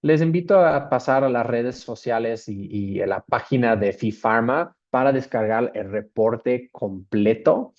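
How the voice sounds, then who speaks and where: Man, Australian accent, mellow dark voice, hesitant with fillers, muffled call audio. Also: mid-range voice, a little slow, hardly any pauses. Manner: hesitant, with many fillers and restarts. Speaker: presenter. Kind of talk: webinar presentation.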